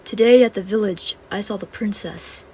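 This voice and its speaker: A woman's voice: mid-range voice, moderate pace, heavy background noise, natural voice. A woman voicing a modest, downhearted young male wizard in an earnest, plain-spoken way. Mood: subdued.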